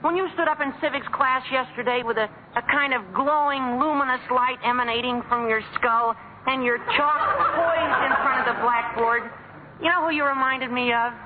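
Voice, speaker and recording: An excitable, gushing teenage boy, with a high, youthful pitch and a breathless eagerness. The voice comes through a tinny old radio broadcast with hiss. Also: moderate pace. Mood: eager. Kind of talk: 1940s radio comedy acting.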